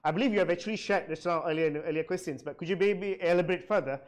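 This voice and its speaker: A man's voice: high-pitched, very fast. A man hosting an interview, asking long questions in a polite, deferential way. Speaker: interview host.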